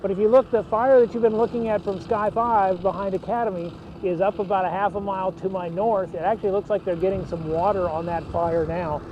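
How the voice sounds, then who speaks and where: A male field reporter with a high voice gives an unscripted live report from outdoors. He speaks hesitantly in starts and stops over a dull feed with loud vehicle and outdoor noise. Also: steady tone, brisk, few pauses. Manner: hesitant, stop-and-start delivery.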